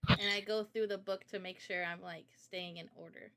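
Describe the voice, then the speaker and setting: A woman hosting a relaxed question-and-answer chat as a game developer, explaining things informally. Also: on the low side, moderate pace, slight background noise, poor audio. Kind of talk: casual chat, Q&A.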